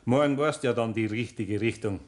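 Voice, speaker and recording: An actor playing a patient but firm older master carpenter with a Bavarian accent, speaking in a homely, deliberate way in a 1960s radio play. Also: male voice, lowish voice, close to an everyday voice. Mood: patient, instructing.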